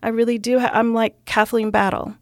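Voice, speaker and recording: A middle-aged woman, a classical singer, talking as an interview guest in an expressive, enthusiastic way and laughing often. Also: fairly high pitch, brisk, no room sound, clean studio sound. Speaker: classical singer and stage performer.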